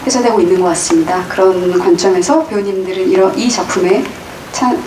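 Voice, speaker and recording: A woman's voice: mid-range voice, brisk. Polite woman moderator in an echoey hall. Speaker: press conference moderator. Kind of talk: formal moderating. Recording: echoey hall, distant mic, noisy room.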